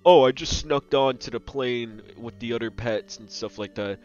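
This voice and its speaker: A man's voice: medium pitch, normal speed, a little mellow, lightly acted. A man voicing a homesick, dejected bear character who rambles and complains in an improvised comic skit. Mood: gloomy. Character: dejected homesick male leprechaun bear with a grudge. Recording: dull lo-fi audio with music behind.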